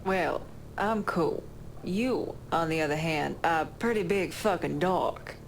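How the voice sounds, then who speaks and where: A woman's voice: fairly low pitch, measured pace, creaky, a little hiss or hum, rough recording. An actress playing a tough, foul-mouthed woman with a casual, streetwise manner in a video game cutscene. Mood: teasing. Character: tough, foul-mouthed woman, teasing old friend, privately insecure.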